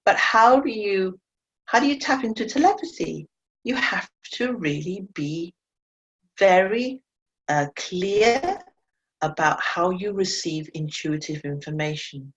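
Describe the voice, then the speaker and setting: Woman instructor, English not her first language, lowish voice, dull lo-fi call audio, earnest and reflective. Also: takes it slowly. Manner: earnest, reflective, with self-corrections. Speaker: animal communication teacher. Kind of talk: webinar talk to learners. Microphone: video call mic.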